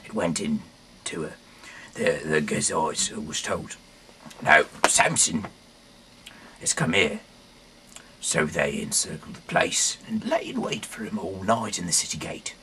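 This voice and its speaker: Very deep-voiced man stumbling through scripture. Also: big pitch swings, a little slow, long pauses, bright, forceful tone, a little hiss or hum. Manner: stumbling, rambling, often correcting himself. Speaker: amateur reader of a Bible audio series. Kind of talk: halting read-aloud of scripture with off-the-cuff asides.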